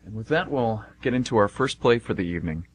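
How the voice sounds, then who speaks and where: A man's voice: on the low side, lively intonation, fast, slight background noise. A man hosting an amateur radio theater show and reading its scripted narration.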